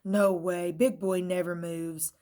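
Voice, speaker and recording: Snappish, sarcastic scissors from a storybook, bossing the others around, as a woman reading aloud puts him on. The voice is loud and clipped. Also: on the low side, level tone, slow. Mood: snappy.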